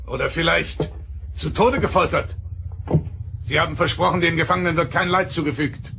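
An actor playing a principled, defiant starship captain, speaking formally and firmly in a science-fiction radio play. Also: male voice, fairly high pitch, moderate pace, creaky, sharp tone, a little hiss or hum. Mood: indignant. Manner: formal, firm, argumentative.

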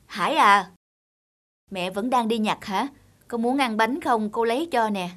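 A woman's voice: fairly high pitch, measured pace, a bit bright. A woman playing a humble, kindly street cake vendor in a cartoon, with a coaxing manner. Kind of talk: cartoon dubbing.

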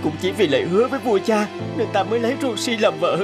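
A man's voice: sits high, expressive, fast. An actor playing an earnest young king in a fairy-tale cartoon, declamatory, commanding and tender. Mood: remorseful, tender. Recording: music under the voice.

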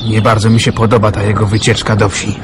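A man playing a calm young lover. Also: on the low side, quick pace, heavy background noise, natural voice. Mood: tender. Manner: calm, earnest, gently teasing. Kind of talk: radio drama acting.

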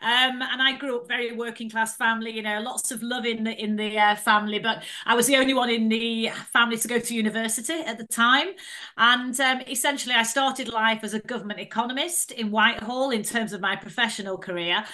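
A woman's voice: fairly high pitch, fairly even intonation, a little fast. A woman in her fifties with a Mancunian accent, chatting as an interview guest about her career. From north of England. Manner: chatty, warm storytelling with frequent "um" and "you know". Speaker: guest, entrepreneur and podcaster. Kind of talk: casual interview chat.

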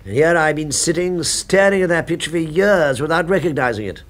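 A man acts a genial gentleman diner in a seventies radio drama. His voice sits fairly high for a man. He is urbane, chatty and fond of teasing. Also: a little fast, lightly acted. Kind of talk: 1970s radio drama acting.

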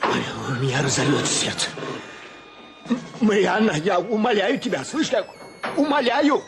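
An actor playing a flustered ship's captain and doting uncle, stammering and fretful, in a Russian radio play. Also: male voice, high voice, expressive, moderate pace, background noise. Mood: panicky pleading. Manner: stammering, agitated.

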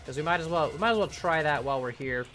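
A young man at his desk mic talks his way through a build on a live stream, wandering from thought to thought and correcting himself as he goes. His bright voice is wearing thin and turning hoarse. Soft music plays underneath. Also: on the high side, expressive, quick pace. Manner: rambling, self-correcting, thinks aloud. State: voice going hoarse. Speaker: game streamer. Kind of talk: casual live-stream commentary while building. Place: home room at a computer.

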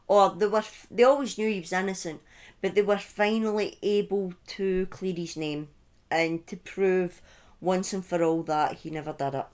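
A middle-aged woman telling a true-crime story informally on a livestream, speaking deliberately with pauses and emphatic repetition, close to a desk microphone. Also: fairly low pitch, steady tone, darkish tone. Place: small indoor room at home. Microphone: close desk microphone on a boom arm.

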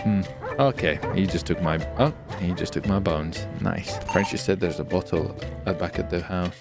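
A man playing a roleplay video game, bantering excitedly and swearing freely. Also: sits low, moderate pace, gravelly. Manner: animated, sweary, exclaiming. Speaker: streamer playing GTA roleplay. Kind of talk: excitable in-character gameplay banter. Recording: music behind the voice.

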